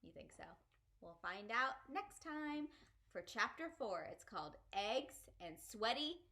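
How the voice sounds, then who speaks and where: A woman narrates a children's book with very lively, swooping intonation. Her voice is fairly high and bright, with an expressive storyteller's delivery. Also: unhurried. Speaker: read-aloud storyteller.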